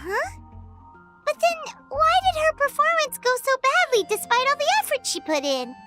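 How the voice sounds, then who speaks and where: A woman's voice: very high voice, big pitch swings, normal speed. A woman voicing a tiny, childlike fairy companion in a video game, chirpy, perky and boastful. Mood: curious, puzzled. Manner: chirpy, boastful, refers to herself by name. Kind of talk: video game character acting. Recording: clear music behind the voice.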